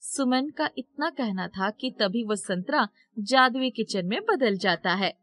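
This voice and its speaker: A woman's voice: on the high side, measured pace, fairly bright tone, dry recording. A woman storyteller reading scripted narration for a moral tale.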